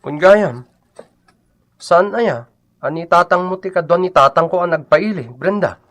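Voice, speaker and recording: A man's voice: high voice, unhurried, fairly bright tone. An actor playing a stubborn, matter-of-fact young man with an insistent, questioning manner in a comedic radio drama.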